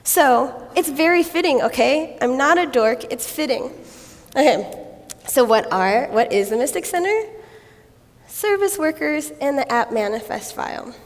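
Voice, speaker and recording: A woman with a raspy voice from a cold, giving a playful, self-mocking conference talk on stage and laughing often. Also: high voice, lively intonation, a little slow, long reverb tail. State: recovering from a cold. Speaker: conference speaker.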